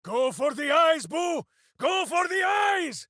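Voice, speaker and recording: Big dumb heroic berserker for a fantasy game, super swoopy pitch, slowish, bombastic and earnest. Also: male voice, big pitch swings, sharp tone, no echo, raised voice, clean studio sound, heavily acted. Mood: battle fervor. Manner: bombastic, earnest, grandly declaiming, speaks of himself by name. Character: big, hearty, simple-minded heroic berserker warrior with a hamster. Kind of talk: theatrical fantasy video game character acting.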